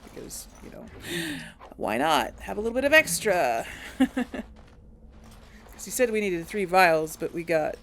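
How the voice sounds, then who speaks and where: A woman's voice: lowish voice, animated, slow, long pauses, a bit bright, slight background noise. A young woman chatting casually through a video game, thinking aloud and correcting herself as she goes. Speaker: let's play host. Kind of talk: casual gameplay commentary.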